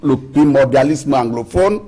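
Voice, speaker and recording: Cameroonian guy on a tv debate panel, husky voice, keeps hammering the same words. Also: medium pitch, animated, measured pace, warm tone. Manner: insistent, repeats phrases for emphasis. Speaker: panel guest. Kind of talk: heated political debate.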